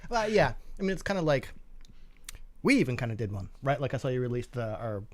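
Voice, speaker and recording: A man's voice: mid-range voice, very animated, fairly quick, darkish tone, dry recording. A man co-hosting a live stream, giving casual, opinionated takes on gaming news in a sardonic, sweary way.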